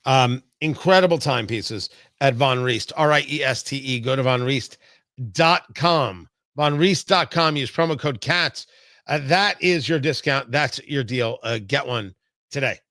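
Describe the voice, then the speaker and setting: A man's voice: medium pitch, a little slow, sharp tone, no room sound. A man hosting a conversational talk show, speaking in an emphatic, punchy way from a studio.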